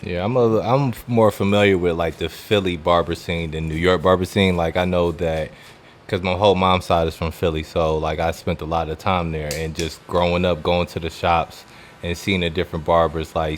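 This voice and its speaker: A man's voice: deep voice, fairly even intonation, moderate pace, slight background noise. A man with an American accent co-hosting in casual chat, blunt and slangy, often repeating phrases. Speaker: fill-in co-host.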